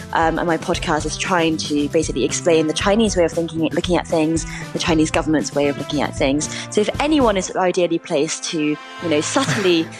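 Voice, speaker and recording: A woman columnist whose first language is Mandarin, describing herself in a wry, tongue-in-cheek way. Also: on the low side. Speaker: columnist and podcaster. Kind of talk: teaser clip, wry self-description.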